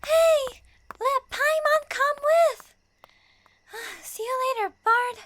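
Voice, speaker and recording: A woman voicing a tiny, excitable, bossy but loyal childlike fairy companion in an audio roleplay. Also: extremely high pitch, extremely slow, a little hiss or hum, full character voice. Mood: alarmed, insistent. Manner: speaks of self in third person, exclamatory. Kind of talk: fan audio roleplay acting.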